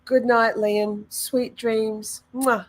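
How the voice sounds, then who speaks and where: A woman's voice: on the high side, flat delivery, extremely slow, poor audio. A woman streamer reacting to a trial video, chatting playfully with her viewers. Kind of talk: casual stream commentary.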